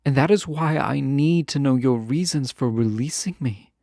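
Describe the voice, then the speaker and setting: A man playing a lovesick, proud fantasy ruler in a romantic audio drama, speaking formally, earnestly and with hesitant pauses. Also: mid-range voice, gravelly, mellow, dry recording, clean studio sound, natural voice. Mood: pleading, yearning. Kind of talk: scripted romantic audio roleplay, close and intimate.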